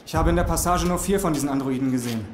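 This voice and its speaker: A man's voice: a little high, racing, close to an everyday voice. A man playing a dutiful young soldier scout in an audio drama. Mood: alert. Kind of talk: German audio drama acting. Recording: loud battle and vehicle noise.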